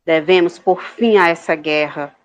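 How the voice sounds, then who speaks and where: A woman's voice: fairly low pitch, unhurried, dull audio, close to an everyday voice. A woman playing a haughty, melodramatic queen and mother in a comedic audio drama, imperious and theatrical. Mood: pleading.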